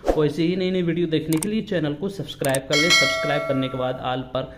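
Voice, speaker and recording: A man's voice: on the high side, fairly even intonation, a little fast, very noisy. A man hosting an instructional app walkthrough, explaining each step repetitively. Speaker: tutorial host.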